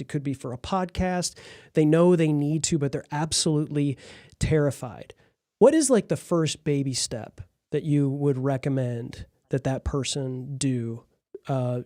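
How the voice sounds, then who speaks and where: A man's voice: fairly high pitch, warm tone, no echo, clean studio sound. A man from Detroit hosting a podcast, asking questions in a loose, rambling conversational way. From Detroit, Michigan. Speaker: podcast host. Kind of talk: casual interview chat.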